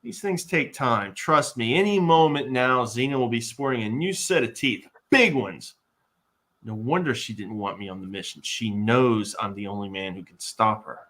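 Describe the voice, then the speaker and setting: A man's voice: medium pitch, animated, moderate pace, a bit bright. A man playing a jittery, boastful, bumbling would-be warrior in a comic live table read. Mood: smug warning. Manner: blustering, nervous, exaggerated.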